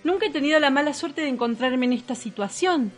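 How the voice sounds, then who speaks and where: An actress playing a disdainful, affected high-society lady in a comic radio play, prim and melodramatic. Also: lively intonation, fairly quick, soft background music. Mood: melodramatic pleading.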